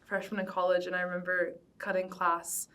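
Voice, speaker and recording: A woman's voice: fairly low pitch, monotone, fairly quick, pushed and bright. Young American woman, slightly distant mic. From Cincinnati, United States. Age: young adult. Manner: frequent "like", loose and informal. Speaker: band vocalist being interviewed. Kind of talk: casual conversational oral history.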